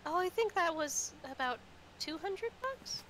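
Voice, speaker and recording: High-voiced, slow-paced woman game master. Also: expressive, a bit bright, a little hiss or hum, low-quality recording. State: sick with a sore throat. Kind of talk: casual tabletop roleplay chat.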